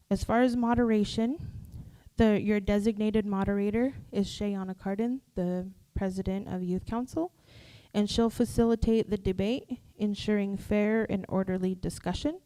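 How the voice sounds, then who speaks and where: Mellow-voiced woman announcing forum rules. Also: medium pitch, poor audio. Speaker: youth program staffer reading debate rules. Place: live candidate forum before an audience.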